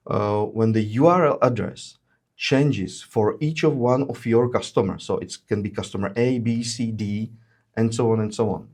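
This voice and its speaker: A man's voice: medium pitch, a little mellow. Man presenting a webinar. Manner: frequent "uh" fillers, measured explanatory delivery. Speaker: webinar presenter, solution engineer. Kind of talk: explaining product features in a webinar.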